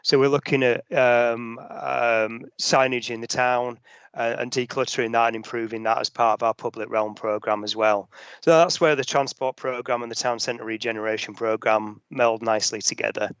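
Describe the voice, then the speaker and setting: A man's voice: medium pitch, fairly even intonation, normal speed, a bit bright, clear, resonant tone, no room sound. A man, a council officer answering questions in an online meeting, hesitant with many fillers and restarts, and losing his voice. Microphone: headset on a video call. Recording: dull lo-fi video call audio.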